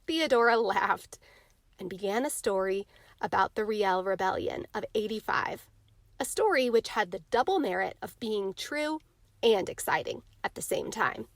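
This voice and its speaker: A woman's voice: mid-range voice, expressive, normal speed, no room sound. A woman narrating a storybook and hosting a read-along. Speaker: narrator and reading host.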